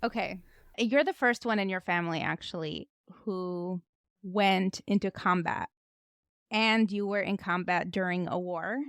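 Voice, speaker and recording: Podcast host lady, smooth clear voice, dry close sound, chatty. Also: fairly low pitch, measured pace, fairly bright tone, no room sound. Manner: chatty, informal asides. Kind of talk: podcast interview, intro and questions.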